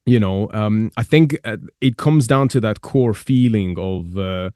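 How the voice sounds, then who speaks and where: A man's voice: on the low side, a little slow, warm tone, no echo, studio quality. A man from Iran hosting a podcast, talking casually in an earnest, emphatic way. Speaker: podcast host. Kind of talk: casual interview talk.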